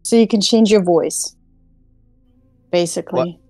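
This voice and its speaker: A woman's voice: lowish voice, measured pace, mellow, no room sound, soft background music. A woman, hosting an online class over a video call in a casual, giggly way full of fillers. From Germany. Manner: chatty, giggly, many fillers. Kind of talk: casual group call chat.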